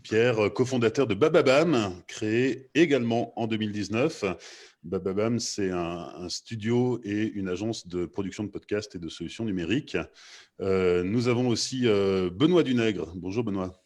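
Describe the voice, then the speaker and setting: Guy moderating a panel, lowish voice, kinda halting with lots of hesitations. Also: a little mellow. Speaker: host and moderator of a panel discussion. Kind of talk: live panel introduction and questioning.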